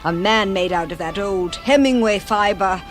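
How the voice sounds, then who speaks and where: An actress playing an older, wistful aristocratic widow in a radio drama, telling her story in a slow, dramatic way. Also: medium pitch, faint music behind, close to an everyday voice. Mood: wistful, romantic.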